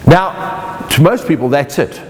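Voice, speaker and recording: A middle-aged man with a South African accent leads a motivational lesson. His voice rises and falls with animated swings. He talks straight to the listener, and the sound rings out in a long hall reverb. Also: on the high side, unhurried, a little hiss or hum. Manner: lively, playful, speaks directly to the viewer. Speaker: motivational speaker and magician. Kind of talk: online course lecture.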